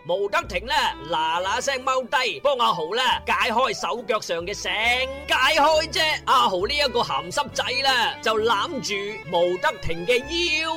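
A man's voice: very high voice, expressive, moderate pace, hardly any pauses, sharp tone. A man narrating a radio drama in a colloquial, dramatic way with cheeky asides and a Hong Kong Cantonese accent. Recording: music behind.

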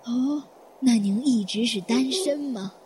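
A woman acting in a Chinese audio drama as a courteous, composed elderly hotel guest with a polite, measured way of speaking. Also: on the high side, mellow, slight background noise, lightly acted. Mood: polite, attentive.

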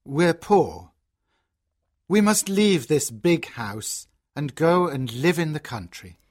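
A woman voicing a gentle, sorrowful merchant father in a storybook reading, lowering her voice and speaking slowly. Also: big pitch swings, full character voice. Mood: sad. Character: sad, gentle middle-aged merchant father.

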